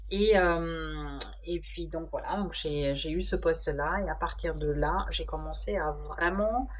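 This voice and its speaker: A German-born woman in her fifties with French as a second language, talking about her career in an interview, full of pauses and fillers. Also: low-pitched. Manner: hesitant, many fillers. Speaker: guest executive. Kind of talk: podcast interview.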